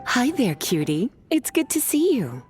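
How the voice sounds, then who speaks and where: Languid game flirt: woman, highish and very expressive, teasing and coaxing. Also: faint music behind. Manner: playful, coaxing, drawn-out delivery. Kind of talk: video game voice acting.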